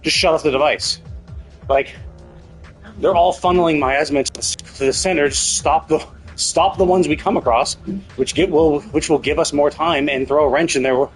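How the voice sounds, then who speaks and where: A man's voice: fairly high pitch, moderate pace, faint music behind, low-quality recording. A man giving rambling, critical live commentary as he plays, repeating himself for emphasis. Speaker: game streamer. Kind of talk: casual live game commentary. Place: live stream, game music behind.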